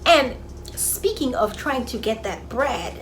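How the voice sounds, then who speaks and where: A woman's voice: on the high side, animated, slow pace, background noise. A young woman from Jamaica with a mixed Jamaican and Bahamian accent, talking casually to camera with sassy, sarcastic asides. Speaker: vlogger.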